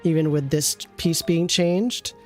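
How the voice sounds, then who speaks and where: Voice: mid-range voice, steady tone, a little slow, darkish tone, faint music behind. A game streamer reviewing an account with casual commentary, thinking aloud and often trailing off mid-thought.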